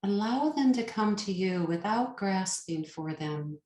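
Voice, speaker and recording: A woman leading a guided meditation as a teacher, soothing and unhurried, pausing between short phrases. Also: medium pitch, animated, warm tone. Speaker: yoga nidra meditation teacher. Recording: roomy, echoing sound.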